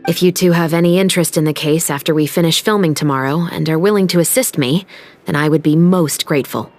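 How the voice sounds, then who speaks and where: A woman playing a composed, principled young musketeer officer in a video game, speaking in a measured, formal, earnest way. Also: sits low, darkish tone. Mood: earnest, serious. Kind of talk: dramatic game voice acting. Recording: music behind the voice.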